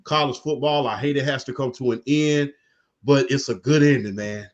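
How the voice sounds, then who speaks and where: A man's voice: medium pitch, moderate pace. A man hosting a casual sports podcast, laid-back and saying "man" after nearly every phrase. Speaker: podcast host. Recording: dull lo-fi sound.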